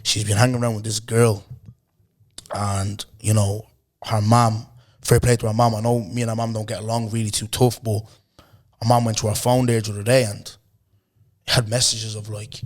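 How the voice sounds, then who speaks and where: Hoarse man, chatty podcast host. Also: on the low side, moderate pace, pauses often. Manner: loose, animated storytelling with lots of slang and repeated "bro". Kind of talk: casual chat.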